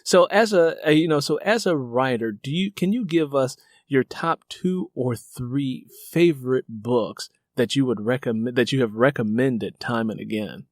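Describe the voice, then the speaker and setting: A man's voice: mid-range voice, moderate pace, few pauses, no echo, clean studio sound. A man interviewing as host and fellow writer, conversational and effusive, repeating words for emphasis.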